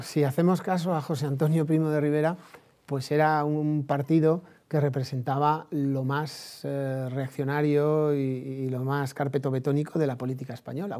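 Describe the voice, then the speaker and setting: A man from Spain with a Spanish accent gives conversational commentary on history and politics in a discursive, halting way, repeating phrases and adding tag questions. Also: medium pitch, mellow.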